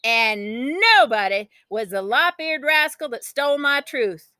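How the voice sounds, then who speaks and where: A woman reading a children's story aloud in an expressive, dramatic, folksy storytelling style. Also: fairly high pitch, unhurried, dry recording, clean studio sound. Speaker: storybook reader.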